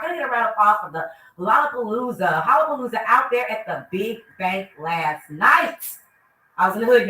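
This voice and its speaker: A woman's voice: mid-range voice, roomy sound, recorded from a distance, rough recording. A woman hosting a morning radio show, chatty and upbeat. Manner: upbeat, chatty, lots of shout-outs. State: stuffy from a cold. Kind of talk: casual morning radio talk.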